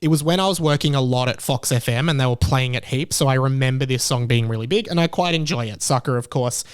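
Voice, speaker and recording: A man's voice: medium pitch, fast, smooth. A young man with an Australian accent hosting a podcast, talking in a chatty, jokey, opinionated way. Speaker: podcast host. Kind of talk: casual podcast monologue.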